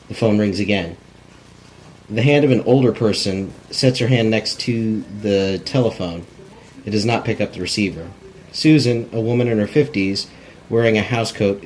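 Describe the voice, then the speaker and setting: Man, lowish pitch, scripted narration, stage directions, table read, amateur recording, light background noise. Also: low-quality recording. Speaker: screenwriter reading stage directions.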